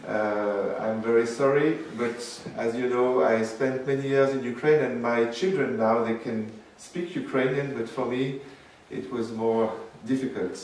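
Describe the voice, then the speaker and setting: A man giving a public lecture as guest speaker, speaking haltingly with many fillers and restarts. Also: medium pitch, fairly even intonation, a little mellow. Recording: roomy, distant mic with faint noise.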